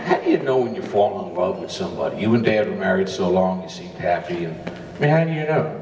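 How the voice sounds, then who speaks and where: A man in his fifties with a Texan accent giving a joking, storytelling wedding speech in a large ballroom. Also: low-pitched. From Texas. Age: 50s. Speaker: father giving a wedding toast. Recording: echoey ballroom from far off, noisy.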